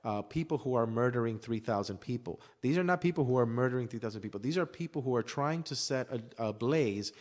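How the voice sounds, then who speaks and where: A man's voice: medium pitch, expressive, quick pace, few pauses, darkish tone. A man in a casual debate, making his case in an emphatic, insistent and argumentative manner. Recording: reverberant hall-like room echo.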